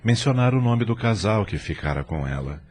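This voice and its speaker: A man's voice: lowish voice, lively intonation, a little fast, a little mellow. A man narrating an audio novela in a dramatic scripted storytelling style.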